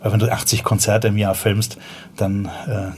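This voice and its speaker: A man's voice: on the low side, flat delivery, normal speed, a little hiss or hum. A man in his forties with a Viennese accent, a podcast guest talking casually in a loose, slangy way, full of fillers and restarts. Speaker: podcast guest, startup founder. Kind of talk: casual conversational storytelling.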